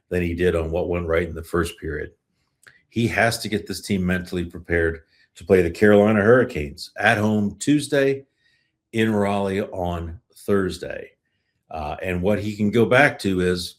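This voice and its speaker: A man hosting a sports podcast, giving casual commentary in a conversational way with short, emphatic statements. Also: low voice.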